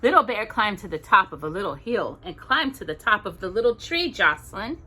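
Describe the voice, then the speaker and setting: A woman's voice: medium pitch, sing-song, brisk, pushed and bright, breathy edge, rough recording. A woman hosting storytime, narrating a children's story expressively with playful asides to a young listener. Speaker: storytime host reading to children.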